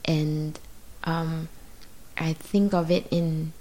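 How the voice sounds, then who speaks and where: A woman with a low voice and Mandarin as her first language, thinking aloud as a guest. She speaks thoughtfully and hesitantly, pausing often and reaching for the right words. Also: fairly even intonation, dark tone, poor audio. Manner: thoughtful, searching, many pauses and fillers. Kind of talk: reflective conversation.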